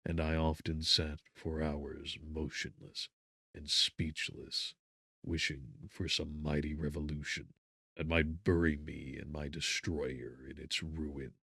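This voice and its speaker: A man performing an anguished, guilt-ridden gentleman narrator in a gothic audiobook, theatrical, moving between hushed and forceful delivery with drawn-out words. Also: a bass voice, level tone, vocal fry, slightly rough, no room sound, clean studio sound, exaggerated voice.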